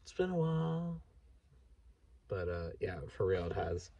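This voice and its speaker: A man of about thirty, talking frankly and haltingly in a sweary, candid personal vlog monologue. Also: deep voice, sing-song, creaky, mellow, no room sound, far from the mic, dull audio. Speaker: vlogger. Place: inside a parked vehicle at night.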